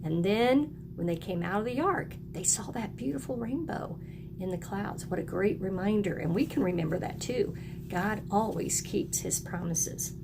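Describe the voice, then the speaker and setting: A woman with a mid-pitched voice teaches a lesson to young children. She is encouraging, often asking questions and prompting them to repeat. Noticeable noise sits behind her voice. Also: normal speed, vocal fry.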